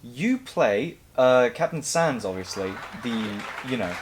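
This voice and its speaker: A man's voice: mid-range voice, expressive, takes it slowly, a little hiss or hum. A man hosting a casual cast interview, prodding and teasing his guests with repeated questions. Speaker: interview host.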